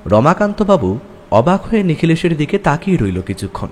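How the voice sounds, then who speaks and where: প্রাণবন্ত স্বরের পুরুষ গল্পকথক. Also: male voice, on the high side, big pitch swings, fairly quick, soft background music. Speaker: story narrator. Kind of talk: first-person scripted detective story narration.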